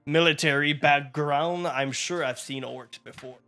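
A man's voice: fairly high pitch, steady tone, unhurried, pushed and bright. A man playing a tabletop game on a video call, hesitant and stammering. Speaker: player.

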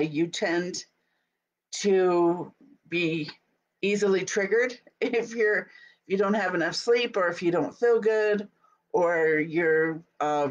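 A woman in her thirties hosting a casual live video from her home office, sounding stuffy and scratchy from a cold, chatty and quick to laugh. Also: on the low side. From Utah. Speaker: host. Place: home office, small room indoors. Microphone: webcam at desk.

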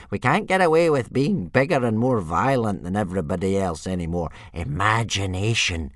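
A man voicing a smug, rambling old male soothsayer with a wheezy, high-pitched voice and grand, slow pronouncements. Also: fairly bright tone, dry recording, studio quality, exaggerated voice.

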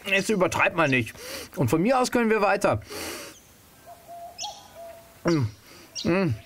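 A man's voice: high voice, sing-song, measured pace, fairly bright tone, a little hiss or hum, heavily acted. An actor playing a hungry, cheeky adventurous boy with a teasing, defensive manner in an audio drama.

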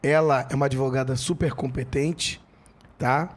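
A man's voice: mid-range voice, fairly even intonation, normal speed. A middle-aged man with a Brazilian accent, hosting a podcast in a studio on a handheld mic, reading ads with energy. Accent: Brazilian Portuguese. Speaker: podcast host. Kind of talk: casual podcast talk with live ad reads.

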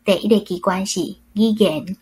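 A woman with a Taiwanese accent reads a prepared book reflection aloud. Her voice is mid-pitched and husky, in the manner of a club member presenting a written piece. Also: normal speed, darkish tone.

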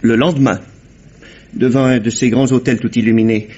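An actor playing a wary, evasive fake blind beggar in a vintage radio drama, with a husky voice. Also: male voice, medium pitch, steady tone, mellow, lightly acted. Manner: evasive, quick replies. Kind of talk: 1950s radio drama acting. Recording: dull old radio recording.

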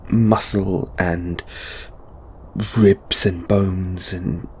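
Man acting a stammering, anxious lamia flatmate. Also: deep voice, slight background noise, heavily acted. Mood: awkward, hesitant. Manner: stammering, halting, flustered, breathy sighs. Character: anxious, apologetic, lovestruck young male lamia flatmate. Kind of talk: intimate audio roleplay acting.

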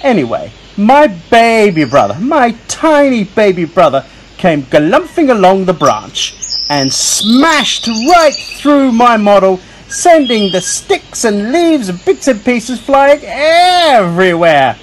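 A man voicing an excitable, talkative young squirrel who tells children a story in a theatrical, self-important way. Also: sits high, takes it slowly, exaggerated voice. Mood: indignant storytelling. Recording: faint noise with birdsong and crickets.

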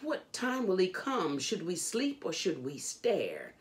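Woman reading as a slow, wondering young schoolboy. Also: fairly low pitch, wildly swooping pitch, voice pushed up, exaggerated voice. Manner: musing, questioning. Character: excited, curious young schoolboy wondering aloud.

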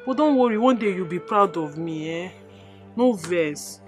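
A woman's voice: on the low side, expressive, normal speed, soft background music, natural voice. A woman playing a cheeky young hustler who coaxes her mother and mixes in Pidgin, with a Nigerian accent. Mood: coaxing. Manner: defensive, coaxing, mixes in Pidgin.

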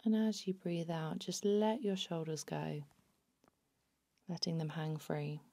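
A woman narrating a guided body scan meditation in a soothing, unhurried, hushed manner. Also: sits low, fairly even intonation.

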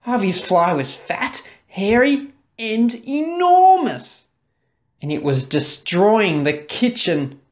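A woman narrating a children's story expressively, dramatic and deliberate, drawing out key words. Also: mid-range voice, very animated. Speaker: storybook reader.

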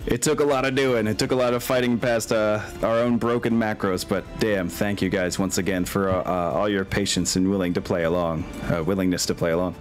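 A man's voice: lowish voice, fairly even intonation, brisk, hardly any pauses, faint music behind. A man, middle-aged, chatting casually and a bit ramblingly as he wraps up an online tabletop game as game master.

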